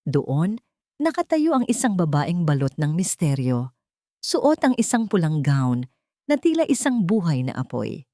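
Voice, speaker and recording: A woman's voice: deep voice, big pitch swings, a little slow, mellow, no echo. Woman narrator, dry close recording, dramatic storytelling.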